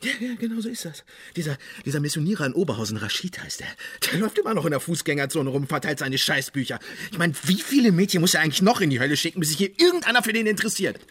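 Husky, cynical investigator. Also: male voice, fairly high pitch, very animated, fairly bright tone, exaggerated voice. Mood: agitated, exasperated. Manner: insistent, blunt, halting repetitions when worked up. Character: agitated, cynical male state security investigator in therapy. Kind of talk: naturalistic German radio drama acting.

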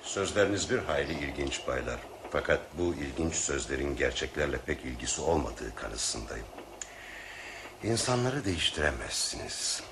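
A man playing a sceptical, pragmatic gentleman on a train in a radio drama, formal and dry in manner. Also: rumbling low, sing-song, normal speed, creaky, background noise, heavily acted. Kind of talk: Turkish radio drama acting.

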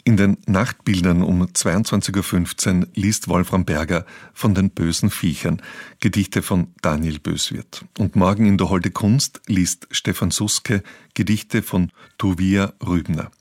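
Deep-voiced male radio announcer. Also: fairly even intonation, a little slow, a little mellow, no echo, clean studio sound. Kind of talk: scripted programme announcements.

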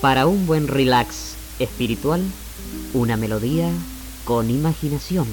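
A man presenting scripted, literary radio narration in a measured manner, in the style of the 1960s. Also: medium pitch, poor audio. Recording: archived 1960s AM radio recording. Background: music.

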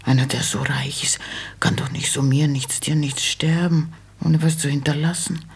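An old mother in a radio play, money-minded and insistent. Her voice is badly husky, with a gravelly creak. She speaks in plain, halting colloquial phrases with a plaintive pull. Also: sits low, fairly even intonation, full character voice. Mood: coaxing, wistful. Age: older. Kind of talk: German radio play acting.

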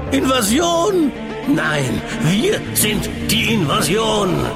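An actor playing a mysterious, mocking show announcer, grandiose and ominous in a dramatic stage introduction. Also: male voice, high voice, vocal fry, exaggerated voice. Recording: loud music under the voice.

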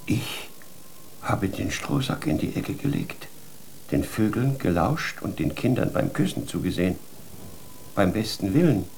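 A man playing a food-minded king and papa in a children's radio play, with theatrical, drawn-out delivery. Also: on the low side, big pitch swings, gravelly, a little mellow, slight background noise, full character voice. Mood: grumbling.

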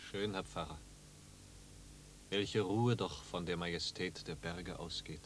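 An actor playing a tormented, melancholy young wanderer in a 1950s radio drama, brooding and anguished. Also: male voice, sits low, measured pace. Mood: subdued, uneasy. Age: young adult. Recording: old radio recording with hiss and hum.

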